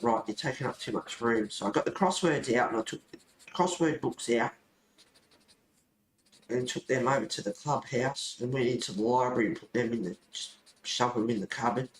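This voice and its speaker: A man chatting casually and informally, rambling along as he hosts a relaxed hobby stream. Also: medium pitch. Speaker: host of a colouring club stream. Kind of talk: casual rambling chat while colouring. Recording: roomy echo, faint background noise.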